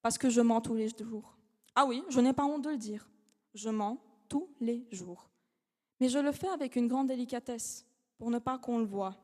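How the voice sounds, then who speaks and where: A woman's voice: a little high, a little mellow. Young woman orating in a reverberant hall. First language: not French. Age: young adult. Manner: dramatic, measured delivery with rhetorical pauses. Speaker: law student giving a competition speech. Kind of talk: formal oratory speech. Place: large academic hall.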